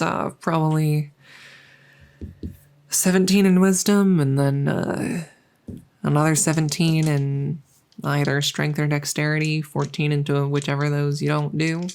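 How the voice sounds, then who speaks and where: A woman playing a friendly, chatty dungeon master in a soft-spoken ASMR roleplay, musing and hesitant as she talks intimately to the listener. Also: low-pitched. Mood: amused, focused. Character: friendly female dungeon master, chatty, teasing, doting cat owner.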